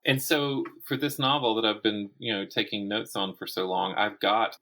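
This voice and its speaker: A man's voice: on the low side, steady tone, normal speed, bright, forceful tone. A man speaking as a guest author in a casual interview, often restarting and repeating words as he thinks aloud.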